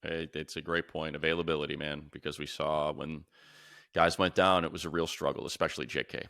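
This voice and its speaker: A man's voice: extremely low pitch, level tone, a little fast, sharp tone, no room sound, clean studio sound. An American man co-hosting a sports podcast, giving emphatic, slangy opinions in a casual way. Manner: emphatic, slangy, repeats phrases. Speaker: sports podcast co-host, announcer.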